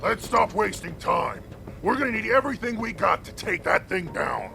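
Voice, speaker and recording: A man playing a big, bold warrior champion in a video game cutscene, gravelly, blunt and folksy as he rallies others. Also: sits high, big pitch swings, normal speed, background noise, exaggerated voice. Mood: rousing, commanding.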